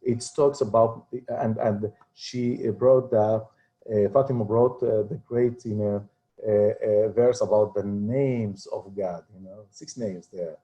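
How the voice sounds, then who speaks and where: Slow, low-voiced male teacher. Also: fairly even intonation, dark tone, rough recording. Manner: hesitant, with many fillers. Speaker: religious teacher. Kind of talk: informal lesson talk.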